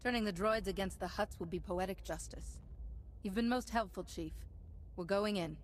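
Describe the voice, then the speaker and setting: A woman's voice: mid-range voice, a little hiss or hum, natural voice. Composed, resolute female Jedi master, played by an actress. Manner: formal, confident, measured.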